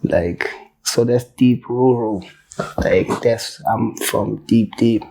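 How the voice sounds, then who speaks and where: Relaxed slangy rapper man, mellow voice. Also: mid-range voice, slow pace, gravelly, low-quality recording. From South Africa. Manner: loose, slangy, swears freely, laughs while telling stories. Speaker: rapper, interview guest. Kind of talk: casual interview chat.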